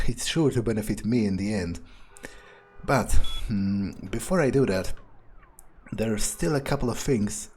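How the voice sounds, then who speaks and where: A man's voice: on the low side, darkish tone, slightly rough. A man improvising an in-character monologue for a game playthrough, musing hesitantly and sardonically, with some swearing. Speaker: gameplay video player speaking in character.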